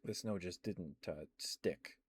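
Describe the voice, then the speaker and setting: Slow, low-voiced man rambling softly. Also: husky. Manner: soft rambling talk with many fillers and laughs. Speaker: ASMR streamer. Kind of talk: rambling ASMR chat.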